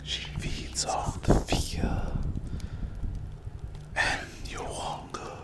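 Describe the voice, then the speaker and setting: A performer voicing a sadistic, possessive demon in an ASMR dark fantasy roleplay, whispering menacing mock endearments to captive prey. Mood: cruel, threatening. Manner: slow, menacing, mock-sweet purring whisper.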